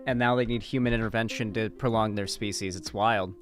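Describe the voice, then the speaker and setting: A man's voice: on the low side, fairly even intonation, brisk. A man hosting a livestream, talking in a casual, informal and chatty way. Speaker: livestream host. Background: background guitar music.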